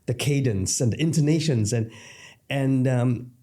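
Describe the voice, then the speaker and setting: A man's voice: mid-range voice, unhurried, mellow. A man from Singapore, hosting a casual podcast chat with rambling, joking asides. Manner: chatty, rambling, jokey asides. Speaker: podcast host. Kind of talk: casual conversation.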